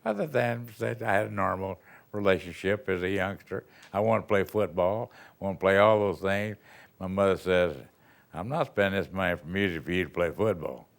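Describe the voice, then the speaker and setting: Older man from Texas, gravelly low voice, folksy rambling storyteller in an interview. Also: moderate pace. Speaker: retired band director. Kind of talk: reminiscing interview answers.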